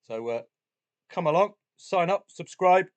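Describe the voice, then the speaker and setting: Man with flu, deep husky voice. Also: expressive, a little slow. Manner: chatty, jokey asides, frequent "uh" fillers. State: has the flu, chesty cough, voice deeper than usual. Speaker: betting channel host. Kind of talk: casual talk to camera about betting.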